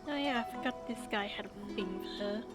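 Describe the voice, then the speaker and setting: A woman's voice: medium pitch, fairly quick. A woman chatting casually as she plays a game on a livestream. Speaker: game streamer. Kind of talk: casual livestream chat while gaming. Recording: loud game music behind. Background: video game music.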